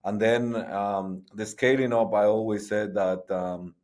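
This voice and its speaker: Middle-aged man, somewhat low voice, stop-start guest answers. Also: fairly even intonation, darkish tone. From Guatemala. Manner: halting, frequent restarts and repetitions. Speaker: guest, sports entrepreneur. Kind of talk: conversational interview.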